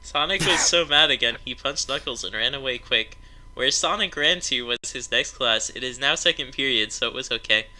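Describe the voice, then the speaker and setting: A man's voice: mid-range voice, normal speed, pushed and bright, low-quality recording. A man narrating a comedic story aloud to friends, prone to breaking into laughter as he reads.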